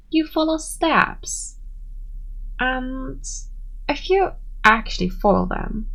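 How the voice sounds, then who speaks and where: A woman's voice: sits high, gravelly, exaggerated voice. A woman playing a tsundere friend in an ASMR roleplay, brusque and defensive but secretly sweet. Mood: proud, focused. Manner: brusque, defensive, softening into shy warmth. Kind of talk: ASMR roleplay, scripted monologue to listener.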